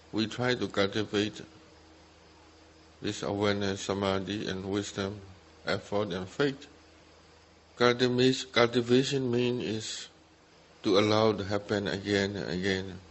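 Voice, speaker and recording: A man's voice: on the low side, fairly even intonation, unhurried, a little hiss or hum, rough recording. A man who speaks English as a second language, giving guided meditation instruction as a Buddhist teacher in short simple phrases with gaps between them. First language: not English. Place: meditation retreat talk.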